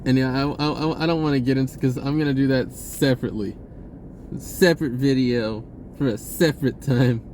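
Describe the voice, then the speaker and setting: Halting, rambling man over clear background noise. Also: medium pitch, a little mellow. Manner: halting, self-interrupting, informal. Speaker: spirituality vlogger. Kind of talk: casual rambling spiritual monologue.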